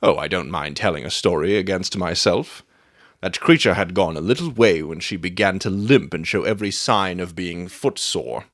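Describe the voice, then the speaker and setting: A man playing a brisk, self-assured Victorian detective in a dramatic audiobook, telling things with confidence. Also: low voice, animated, fairly bright tone, no room sound, clean studio sound, full character voice. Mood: wry storytelling.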